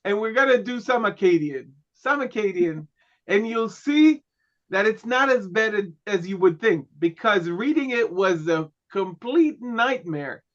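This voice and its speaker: A male teacher with a high voice takes his time, letting each phrase land slowly. He is a native Hebrew speaker and teaches in a loose, joking way. The sound is dull and muffled, like online class audio. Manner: relaxed, repeats "okay" and "right", joking asides. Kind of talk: lecturing.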